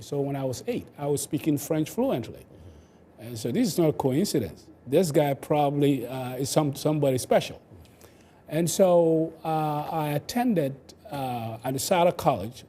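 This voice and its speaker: Older Ghanaian-accented male expert, mellow mid-range voice, explanatory interview delivery, some background noise. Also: fairly high pitch. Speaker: inventor, interview guest.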